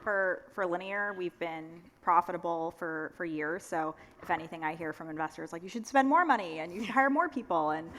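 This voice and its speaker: A woman speaking conversationally on a conference panel, with casual phrasing and imagined quotes voiced along the way. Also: mid-range voice. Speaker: tech company executive on a panel. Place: on stage at a conference. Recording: echoey hall with long reverb.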